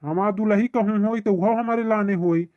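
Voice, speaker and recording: A man's voice: high-pitched, normal speed, no room sound, muffled recording, close to an everyday voice. A man with a Bagheli accent voicing an indignant, self-important young village bachelor who argues in a comic cartoon. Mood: defiant. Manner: argumentative, pleading, indignant.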